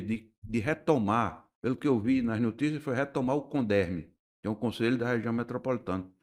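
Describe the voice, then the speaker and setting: An older man with a Pernambuco accent speaks in a medium-pitched voice. He talks in a measured, explanatory way and often pauses, restarts and searches for words. He sounds like a guest being interviewed on a radio talk show. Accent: Pernambuco Portuguese. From Recife, Brazil. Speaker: architect and urban planner guest.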